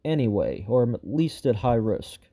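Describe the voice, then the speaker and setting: A man hosting a show gives an unscripted update to viewers, rambling and frustrated, with lots of fillers and restarts, and he sounds slightly hoarse. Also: medium pitch, big pitch swings, moderate pace, warm tone. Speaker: show host giving an update.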